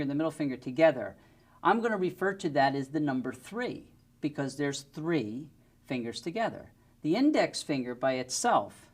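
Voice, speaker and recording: A middle-aged man explains things as a music instructor. His delivery is methodical and instructional, with a lively, varied pitch that moves up and down as he demonstrates. He is recorded indoors on a lapel mic. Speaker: music teacher. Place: indoor studio with plain backdrop.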